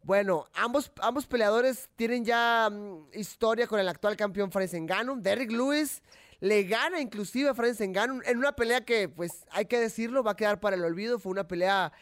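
A young man hosts a relaxed sports talk show. His voice sits high and he talks in an animated, chatty way, often stopping to restart a sentence. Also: moderate pace. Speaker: host.

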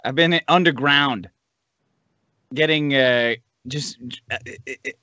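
A man's voice: mid-range voice, takes it slowly, bright, forceful tone, no room sound, dull audio. A man appearing as a podcast guest, ranting casually and comedically in a crude, sardonic, profane way. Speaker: podcast guest, show host himself.

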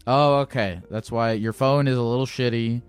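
A man's voice: mid-range voice, fairly even intonation, moderate pace, clear, resonant tone, soft background music. A man hosting a podcast and chatting with a caller, blunt and teasing, swearing freely and hesitating with many fillers. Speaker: podcast host. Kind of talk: casual chat with a caller.

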